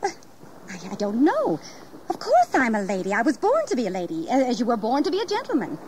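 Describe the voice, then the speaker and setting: Anxious genteel young wife, very expressive. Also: female voice, on the high side, brisk. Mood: puzzled, indignant. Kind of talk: historical audio drama acting. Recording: noisy 1980s audio drama tape.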